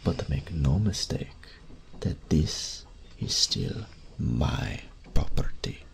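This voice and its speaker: Through a noticeable layer of noise comes an elderly master tailor, with an extremely deep, heavily creaking voice. He is courteous and proud of his craft, speaking slowly and formally as a wistful shopkeeper in a fantasy audio roleplay. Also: big pitch swings, exaggerated voice. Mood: resigned, stern. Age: older. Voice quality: gravelly, breathy old voice. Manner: formal, deferential, unhurried, savouring words.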